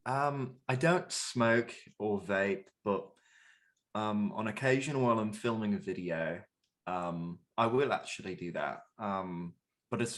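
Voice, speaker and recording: Hesitant, rambling young man. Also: lowish voice. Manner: hesitant, rambling with many restarts. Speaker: interviewee. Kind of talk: casual interview answers.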